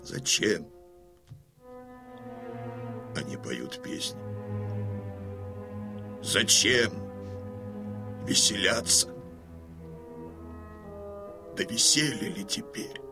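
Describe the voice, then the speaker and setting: An actor playing a stern, proud old Cossack chieftain in a radio drama, declaiming mournfully, moving between hushed and loud delivery. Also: male voice, fairly low pitch, very animated, drawn-out words, long pauses, music in the background, exaggerated voice. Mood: bitter mourning. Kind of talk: theatrical radio drama monologue.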